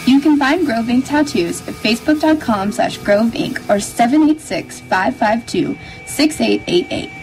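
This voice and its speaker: A woman's voice: fairly high pitch, expressive, measured pace, few pauses, darkish tone, rough recording. A woman reading a scripted sponsor message as a radio ad announcer. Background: music.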